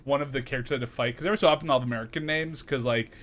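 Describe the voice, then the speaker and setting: A young man co-hosting a gaming stream, chatting casually, loud and excitable, swearing often. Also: medium pitch, rapid-fire. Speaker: co-host.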